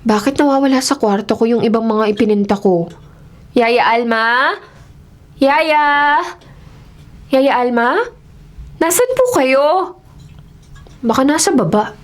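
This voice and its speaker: A woman playing a gentle, frightened young girl in a radio horror drama, emotional and pleading in delivery. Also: fairly high pitch, slow pace, pauses often. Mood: uneasy searching. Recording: loud dramatic music bed under the voice.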